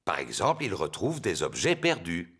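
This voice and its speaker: A man's voice: low-pitched, huge pitch swings, normal speed, very noisy, full character voice. A man voicing a jovial, good-natured father pig in a children's cartoon dub, hearty as he explains things. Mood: jovial, explaining. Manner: hearty chuckling, warm explaining.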